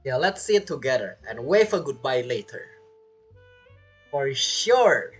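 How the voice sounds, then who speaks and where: A man gives casual commentary on a game he is playing. His voice is husky and very animated in pitch. He muses aloud and drops joking asides over faint music. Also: unhurried. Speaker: game streamer.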